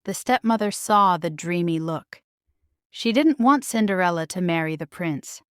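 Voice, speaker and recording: A woman narrating a children's storybook in a measured, expressive, scripted read-aloud style. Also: on the low side, clear, resonant tone, no room sound, studio quality. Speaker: storybook reader.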